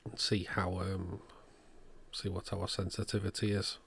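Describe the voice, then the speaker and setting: A man with a low voice and a strong creak talks through a hands-on repair. He goes methodically, one step after another, in the manner of an electronics technician showing his work. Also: completely flat delivery, unhurried, a bit bright, slight background noise. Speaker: electronics repairer, channel host. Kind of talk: hands-on repair demonstration narration.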